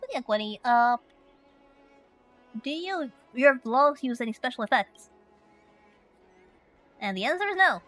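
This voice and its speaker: A woman's voice: high-pitched, big pitch swings, a little slow, long pauses, fairly bright tone, no echo, soft background music. A woman from Finland streaming a story game, chatting playfully and reading questions aloud.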